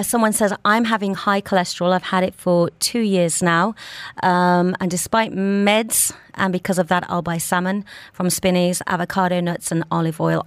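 A woman's voice: fairly low pitch, smooth, no room sound. Woman, radio host, chatty, enthusiastic, dry studio mic. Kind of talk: radio talk show. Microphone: studio microphone.

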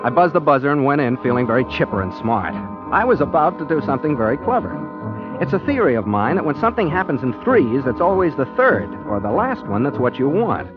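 A chipper private eye, played by a man tells his tale in old radio drama style. His voice is medium-pitched and animated, breezy and guileless, on a muffled recording with music behind. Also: fairly quick, close to an everyday voice. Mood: chipper, smug. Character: chipper, naive, self-satisfied amateurish male private detective narrating. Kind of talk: 1940s radio acting, first-person narration.

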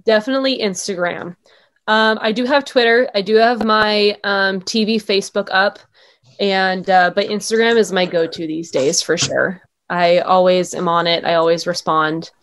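A woman's voice: mid-range voice, fairly even intonation, normal speed, fairly bright tone. A young adult woman, a former sports anchor, talking casually as an interview guest in an upbeat, chatty way with lots of "um" and "like".

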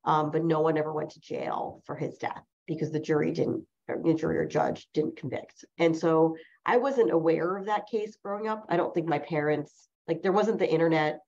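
A woman in her forties with a low voice edged with vocal fry, speaking as a lawyer whose first language was Chinese. She tells her stories reflectively, circling back and correcting herself as she goes. The recording sounds muffled and lo-fi. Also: normal speed, few pauses, darkish tone. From New Jersey, USA. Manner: reflective, rambling, with many self-corrections. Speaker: lawyer, guest. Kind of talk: personal storytelling in an interview. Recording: dull lo-fi recording.

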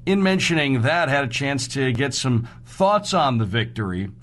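A male sports radio host recaps hockey news and numbers. His voice sits at an ordinary middle pitch, and he talks in a semi-scripted way. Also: expressive, normal speed, fairly bright tone.

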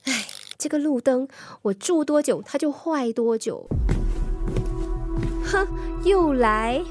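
A young woman acting a spirited daughter in a radio drama, lively and a little pouty. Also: sits high, takes it slowly, music in the background. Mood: coaxing. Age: young adult.

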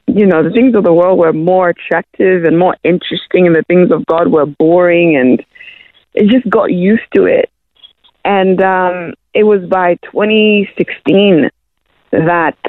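Woman from Zimbabwe, guest singer, halting speech full of ums, phone-quality line, dry sound. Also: lowish voice, no room sound. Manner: hesitant, many ums. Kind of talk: casual interview.

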